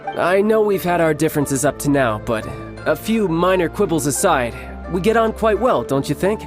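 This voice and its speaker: A man voicing a cocky, playful young warrior and doting big brother in a video game, smooth-talking and theatrical. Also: a little high, sing-song, moderate pace. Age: young adult. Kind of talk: video game character acting. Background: music.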